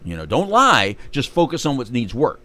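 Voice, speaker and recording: A man's voice: mid-range voice, huge pitch swings, moderate pace, sharp tone. A man co-hosting a live talk show, chatting loosely, joking and losing his thread while hunting for words. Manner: rambling, joking, trails off searching for words. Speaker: podcast co-host. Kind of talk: casual talk show chat.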